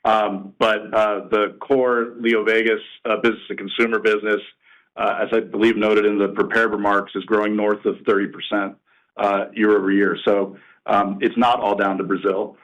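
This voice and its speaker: A man answering an analyst on an earnings call as a company executive, speaking in a halting, measured way. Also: fairly low pitch, level tone, fairly bright tone, low-quality recording.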